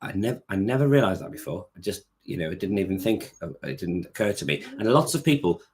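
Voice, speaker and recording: Low-voiced man, rambling chat. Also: sing-song, fast talker, darkish tone. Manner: rambling, many restarts, sings short notes to demonstrate. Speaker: author and choir director. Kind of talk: casual interview chat.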